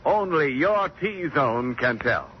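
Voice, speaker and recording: A male announcer from 1940s radio with a polished, emphatic sales delivery. His voice is slightly high and lively, on a muffled recording with faint music. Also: slow pace, slight background noise. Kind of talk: 1940s radio advertising read.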